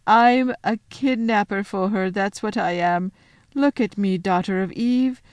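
A sorrowful male fawn from a storybook, acted out by a woman narrator, full of guilt and self-reproach. He laments in drawn-out, mournful phrases. The recording is close and dry. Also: fairly high pitch, darkish tone, no room sound, close to an everyday voice.

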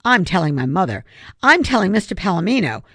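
A woman's voice: lowish voice, very animated, fairly quick, a bit bright, no room sound, pitched above the performer's own voice, full character voice. A woman voicing an indignant schoolgirl animal lover who scolds emphatically in an audiobook story. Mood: furious, outraged.

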